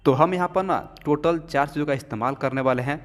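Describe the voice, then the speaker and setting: A man's voice: on the high side, fast. Chatty man in a reverberant kitchen. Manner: chatty, keeps saying "friends" and filler "na". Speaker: home remedy presenter. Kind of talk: cooking demonstration with health tips. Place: home kitchen. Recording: long reverb.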